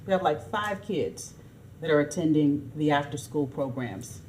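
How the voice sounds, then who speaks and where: Low-voiced woman commissioner, earnest. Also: animated, darkish tone, rough recording. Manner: earnest, measured questioning. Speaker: city commissioner. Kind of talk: public meeting remarks. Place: city council chamber.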